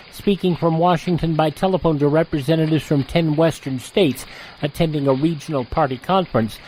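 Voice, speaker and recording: A man's voice: a little high, darkish tone, a little hiss or hum, low-quality recording. A man reading scripted news headlines as a radio presenter.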